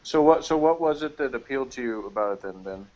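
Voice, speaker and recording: A man giving a casual, opinionated review as a co-host, working through his points in a halting, rambling way. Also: mid-range voice, lively intonation, a little mellow, lo-fi sound. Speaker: co-host reviewing an audio drama.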